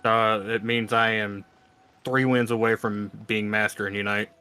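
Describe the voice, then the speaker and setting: A man's voice: fairly low pitch, little pitch movement, moderate pace, bright, forceful tone, clean tone. A man chatting casually about games in an offhand, matter-of-fact way. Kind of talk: casual gaming chat.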